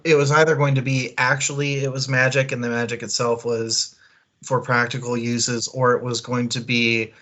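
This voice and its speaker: A man's voice: medium pitch, a bit bright. A man co-hosting a book club livestream, chatting in an informal, rambling and wry way. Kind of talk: casual chat, book discussion.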